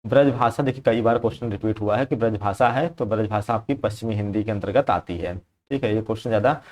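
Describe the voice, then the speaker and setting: A man's voice: fairly low pitch, fast, a little mellow. A young man teaching exam preparation, going through practice questions in a conversational way that repeats key points and keeps checking in with the viewer.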